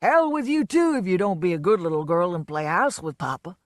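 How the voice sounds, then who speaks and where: A woman's voice: mid-range voice, very animated, moderate pace, dry recording, clean studio sound, natural voice. A woman voicing a drunk, blustering man. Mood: roaring drunk. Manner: loud, swaggering, slurred. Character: drunken blustering man, a boastful folksy entertainer.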